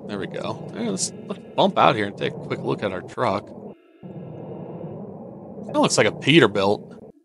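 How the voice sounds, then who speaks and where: An American man giving casual let's-play commentary, easygoing and thinking out loud. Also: fairly low pitch, sing-song, moderate pace, gravelly, pauses often, background noise. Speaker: gaming video host.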